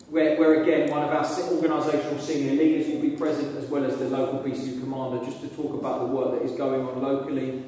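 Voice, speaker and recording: A man's voice: medium pitch, fairly even intonation, a little fast, hardly any pauses, warm tone, a little hiss or hum. A man, a police sergeant, earnestly introducing himself and his work at a community meeting, filled with hesitant ums. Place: large echoey meeting room. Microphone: phone camera from across the room. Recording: echoey room recorded from far off.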